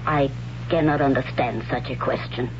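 A man's voice: medium pitch, fairly even intonation, normal speed, lightly acted. A man as a solemn whispering priestess. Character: stern solemn priestess of warrior women. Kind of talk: 1970s radio drama acting. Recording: muffled old radio broadcast with hiss.

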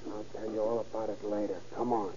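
An actor playing a slangy, sly small-time jailbird in a 1930s radio crime drama. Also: male voice, lowish voice, little pitch movement, fairly quick, close to an everyday voice. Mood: urgent, conspiratorial. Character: crafty jail trusty turned escapee, a smooth-talking small-time crook. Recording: muffled, noisy old radio recording.

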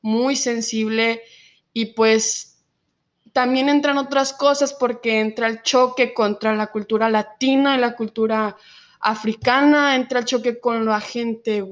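A nineteen-year-old woman from Mexico with a Mexican Spanish accent, telling her own story in an interview and pausing often on fillers. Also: a little high, fairly even intonation. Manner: reflective, many filler pauses. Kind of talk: personal testimony in an interview.

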